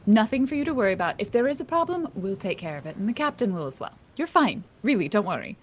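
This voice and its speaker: A brisk female ship's crew member, acted out by a woman in a tabletop game. She is firm and dismissive, reassuring in a way that brushes worries aside, with very animated intonation over faint noise. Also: fairly low pitch, lightly acted. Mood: firm, insistent.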